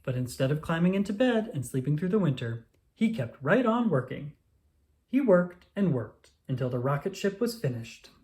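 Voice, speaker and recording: A storyteller reading a children's picture book aloud with expressive narration. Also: mid-range voice, normal speed.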